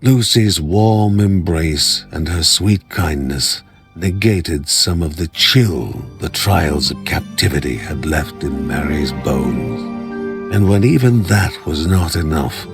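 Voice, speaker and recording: A man playing a solemn storyteller, narrating a fantasy game like a storybook legend in measured, scripted phrases. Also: rumbling low, animated, gravelly, exaggerated voice. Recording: music behind the voice. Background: music.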